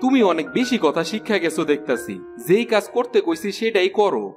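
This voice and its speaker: A man's voice: high-pitched, sing-song, a little fast, music in the background. A man playing an irritable, put-upon village husband in a cartoon, complaining in a rural eastern Bengali accent. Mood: bossy, grumbling. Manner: complaining, exclamatory. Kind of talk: animated cartoon dialogue.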